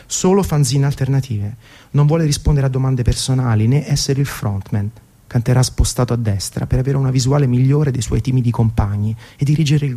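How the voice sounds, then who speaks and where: A man's voice: medium pitch, gravelly, mellow, husky. A man, reading a scripted music documentary monologue in a theatrical, storytelling way with colloquial asides. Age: 30s. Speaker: radio presenter. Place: radio broadcast.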